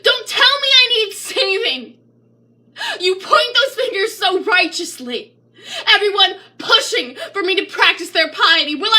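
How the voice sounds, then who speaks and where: Woman playing a bitter young mother, high voice, sharp and forceful, anguished, defiant, pleading, spoken-word poetry. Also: heavily acted. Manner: pleading, defiant, tearful outbursts. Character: bitter, anguished young mother who has lost faith.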